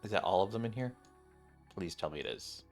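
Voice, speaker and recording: A man's voice: sits low, animated, a little fast, gravelly. A man streaming a video game with casual, slangy commentary and grumbling asides. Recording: game music behind the voice.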